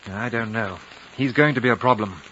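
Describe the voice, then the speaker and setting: An actor playing a courteous, world-weary war veteran in a 1950s radio drama, polite, earnest and reflective. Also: male voice, medium pitch, fairly quick, fairly bright tone, natural voice. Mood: concerned. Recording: dull, noisy old radio recording.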